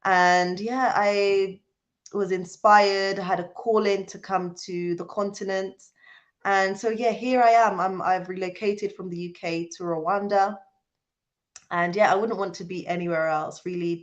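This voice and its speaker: A woman's voice: medium pitch, fairly even intonation, unhurried, muffled recording. A British woman hosting a casual livestream, talking to viewers in an earnest, emphatic way. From born in Jamaica, raised in the UK. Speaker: livestream host. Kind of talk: casual live chat with viewers, reading out comments.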